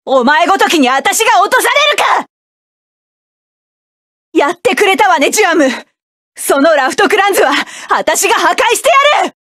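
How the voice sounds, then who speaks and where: A voice actress playing a proud young mech pilot in a game, shouting commanding, defiant battle lines. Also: female voice, very high-pitched, animated, moderate pace, long pauses, no echo, clean studio sound. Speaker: mecha pilot character.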